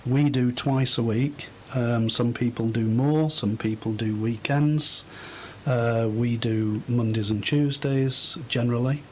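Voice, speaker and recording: A middle-aged man giving thoughtful, halting answers as a guest in an unscripted radio interview. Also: mid-range voice, steady tone, a little mellow, slight background noise. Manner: hesitant, thoughtful, halting phrases. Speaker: interview guest, volunteer host.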